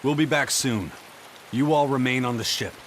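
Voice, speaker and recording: A man playing a steady, caring pirate officer in a video game, giving orders in a firm way. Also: mid-range voice, normal speed, a little hiss or hum, close to an everyday voice. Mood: brisk command. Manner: firm, commanding.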